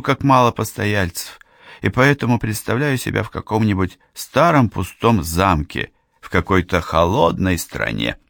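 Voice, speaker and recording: A man's voice: lowish voice, creaky, a bit bright, no echo, studio quality, heavily acted. A man reading an audiobook voices a friendly middle-aged female hairdresser from Ghana, talking in a chatty, confiding way. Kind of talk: audiobook character voice.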